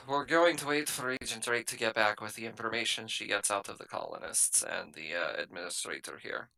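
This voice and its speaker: A man's voice: moderate pace, vocal fry, pushed and bright. A man playing a tabletop roleplaying game, moving between firm in-character lines and casual table talk, plain-spoken and given to swearing. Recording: low-quality laptop group session.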